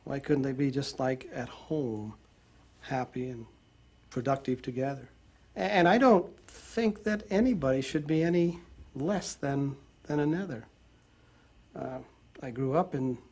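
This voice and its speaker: A man speaking earnestly in a group discussion, halting and restarting often. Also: medium pitch, darkish tone.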